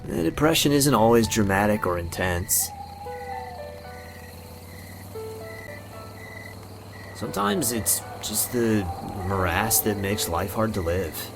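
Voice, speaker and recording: A man's voice: lowish voice, lively intonation, gravelly. A man voicing a friendly, awkward young ghost in a visual novel, rambling and correcting himself in an earnest way. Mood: gentle, earnest. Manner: halting, rambling, self-correcting. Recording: music and night insects behind. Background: music, crickets and frogs.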